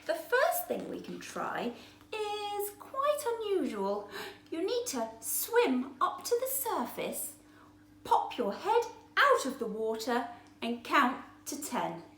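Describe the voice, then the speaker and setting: Lady doing a tiny upbeat fish, super high and bouncy, cheering on a friend. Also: wildly swooping pitch, slow pace, a bit bright, a little hiss or hum, exaggerated voice. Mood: encouraging. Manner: cheerful, encouraging. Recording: roomy echo.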